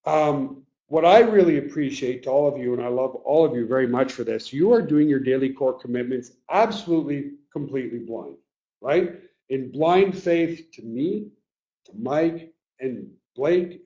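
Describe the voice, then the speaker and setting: A man hosting a live webinar, talking earnestly and emotionally to his team, his voice getting a little raspy. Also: mid-range voice, lively intonation, normal speed, dark tone, low-quality recording. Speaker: webinar host. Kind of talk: live webinar pep talk.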